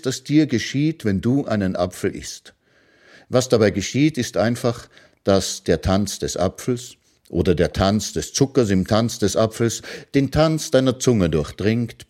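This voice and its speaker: A man reading his own philosophical short prose aloud in a calm, measured way, as a radio literary reading. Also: fairly low pitch.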